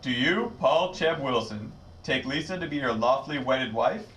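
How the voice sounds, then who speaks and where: A man's voice: medium pitch, lively intonation, normal speed, fairly bright tone, slight background noise, close to an everyday voice. A man playing a solemn, zealous wedding officiant in a puppet comedy, speaking ceremoniously. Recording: echoey room, distant microphone.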